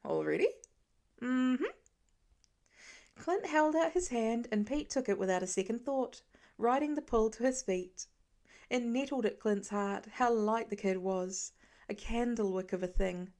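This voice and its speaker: A woman reading scripted fiction as an audiobook narrator, in an expressive and careful manner. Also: fairly high pitch, normal speed.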